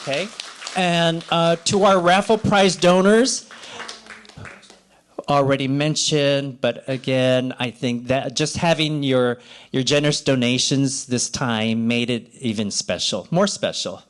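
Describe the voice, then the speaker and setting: Older man, halting informal speech. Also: mid-range voice, clear, resonant tone. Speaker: event organizer giving thanks at an awards ceremony.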